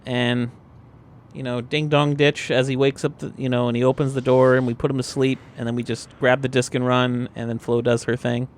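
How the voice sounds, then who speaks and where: A man's voice: mid-range voice, flat delivery, brisk. A man chatting casually and jokily as a tabletop roleplaying player, in a rambling, hesitant way. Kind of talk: casual improvised game chat.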